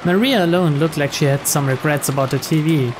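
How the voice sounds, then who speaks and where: Slow-reading man narrator, rain behind. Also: on the high side. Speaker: reader of on-screen story text. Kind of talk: scripted story narration read aloud. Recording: clear rain noise behind.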